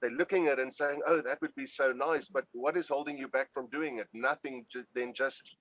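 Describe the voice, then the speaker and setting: A man's voice: medium pitch, fairly even intonation, fairly quick, a bit bright, no room sound, poor audio. A man, a homeschooling father, giving emphatic, encouraging advice and repeating his points in an interview. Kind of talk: casual interview answers.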